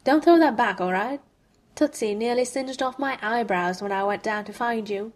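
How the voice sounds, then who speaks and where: A woman's voice: on the high side, normal speed, lightly acted. A woman reading aloud as an earnest young male wizard, keeping a mild and pleading tone.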